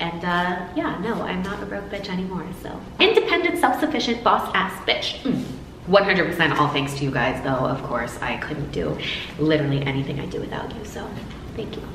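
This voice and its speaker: A woman's voice: mid-range voice, fairly bright tone, distant mic. A young woman from the United States answering fan questions in a playful, jokey self-interview vlog, with big swings of inflection. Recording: roomy echo, faint noise.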